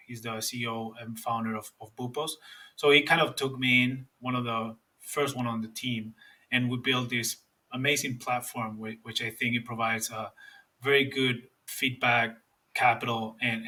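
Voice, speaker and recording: Bright-voiced Spanish-accented man, off mic. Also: mid-range voice, recorded from a distance. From Spain. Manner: friendly, earnest, loose phrasing. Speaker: guest, finance executive. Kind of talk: interview answers.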